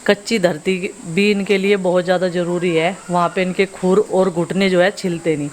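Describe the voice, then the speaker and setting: A woman's voice: on the low side, fairly even intonation, normal speed, darkish tone, a little hiss or hum. A woman in her thirties chatting casually as she films daily life outdoors at her village home. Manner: chatty, explains as she goes, teasing with kids. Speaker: family vlogger.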